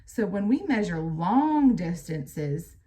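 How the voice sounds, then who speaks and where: An American woman with a slightly low voice reads aloud as a teacher. She explains things plainly and speaks directly to young pupils, moving between the text and her own asides. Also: big pitch swings, slow pace, mellow.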